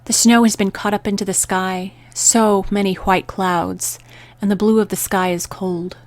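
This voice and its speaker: A woman hosting a poetry radio program, reading scripted narration and verse in a measured, deliberate way with pauses between phrases. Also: medium pitch, flat delivery, no room sound.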